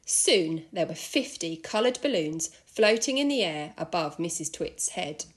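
A woman reading a children's story aloud in an expressive narrating style. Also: lowish voice, unhurried. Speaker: school staff member reading aloud.

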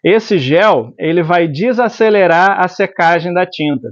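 A man's voice: high voice, a little slow. A man in his forties from Brazil teaching screen printing in his workshop. He explains step by step while mixing inks and keeps checking in with the viewer. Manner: explanatory, tag questions like "tá?". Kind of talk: hands-on tutorial. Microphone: lapel mic. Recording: slightly dull lo-fi sound.

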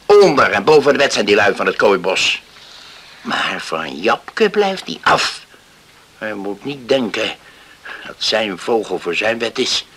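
Stern stubborn dad in a radio drama, slow talker, husky and scornful. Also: male voice, mid-range voice, pushed and bright, full character voice. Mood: scornful, angry. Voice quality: husky, creaky. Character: stern, stubborn island father and church elder. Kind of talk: 1980s radio drama acting.

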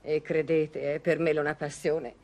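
A fretful, sighing housekeeper. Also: female voice, deep voice, little pitch movement, moderate pace, a little mellow. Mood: worried, gossipy. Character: fretful, talkative, devoted female housekeeper. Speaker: actress in a radio play. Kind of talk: vintage Italian radio drama acting.

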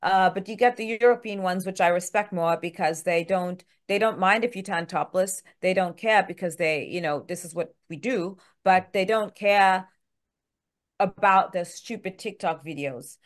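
A woman's voice: lowish voice, normal speed. A middle-aged woman whose first language is French, speaking English with a French accent as a guest in a frank, chatty way with many fillers, over a video call from home. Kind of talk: casual interview chat.

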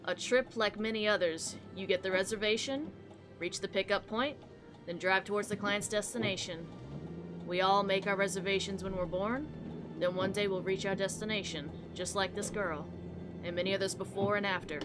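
A woman reading as a pensive, philosophical taxi driver narrating a visual novel in a measured, solemn way. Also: mid-range voice, fairly even intonation, music in the background, close to an everyday voice. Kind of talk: visual novel read-aloud, scripted narration.

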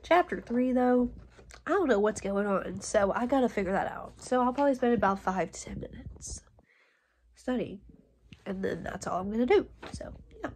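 A young woman in college chatting casually to her phone camera in a relaxed vlog, upbeat and full of fillers. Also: mid-range voice, normal speed, a little hiss or hum. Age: young adult. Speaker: college student vlogger. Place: dorm room and outdoors. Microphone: phone held at arm's length.

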